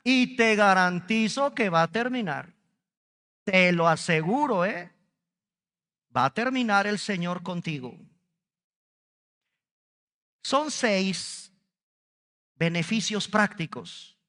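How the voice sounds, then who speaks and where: Mexican pastor guy preaching, high voice, slow and emphatic, long church echo. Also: a bit bright. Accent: Mexican Spanish. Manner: emphatic preaching, stretches key words, addresses congregation directly. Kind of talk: sermon. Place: church service.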